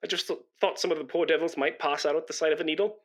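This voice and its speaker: An actor playing a pompous, nervous British chatterbox in a comic radio play, rambling and stammering when flustered. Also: male voice, on the high side, fairly even intonation, racing, fairly bright tone, natural voice. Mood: chatty, flustered. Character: pompous, nervous, chattering British man come to give blood. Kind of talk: comic radio play acting before an audience.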